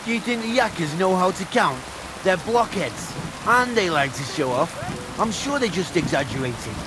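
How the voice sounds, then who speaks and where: A man's voice: sits high, very animated, moderate pace, background noise. An actor playing a rude, arrogant medieval mine foreman in a video game. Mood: gruff. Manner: rude and dismissive. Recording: game audio with loud rain and thunder.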